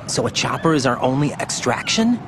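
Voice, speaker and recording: An actor playing an earnest young soldier in a video game, urgent and questioning in a military manner. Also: male voice, medium pitch, big pitch swings, a little fast, noisy background. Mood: concerned, questioning. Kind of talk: dramatic video game voice acting.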